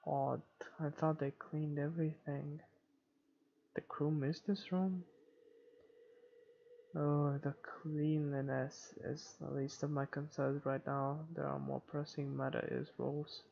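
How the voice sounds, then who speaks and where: Male playthrough reader, slightly high gravelly voice, dramatic but halting delivery of horror narration, dull mic, faint music behind. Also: flat delivery, mellow, slight background noise. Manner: halting, stumbles and rereads. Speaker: gamer reading a visual novel aloud. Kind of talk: dramatic read-aloud of first-person horror narration. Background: game music.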